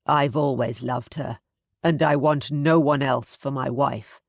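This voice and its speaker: A woman narrator playing an earnest gentleman and former army officer in a romance audiobook. The voice is low and restrained, speaking sincerely in a dry recording. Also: steady tone, a little slow, vocal fry, no room sound, full character voice.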